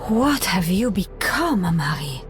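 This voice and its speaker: Betrayed female game fighter, lowish voice, slow, accusing, dismayed, music behind. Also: big pitch swings.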